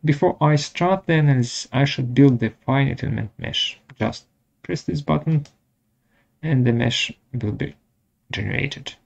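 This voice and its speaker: Guy walking thru software step by step, husky voice, kinda dull lofi audio. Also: medium pitch, moderate pace. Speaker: software webinar presenter.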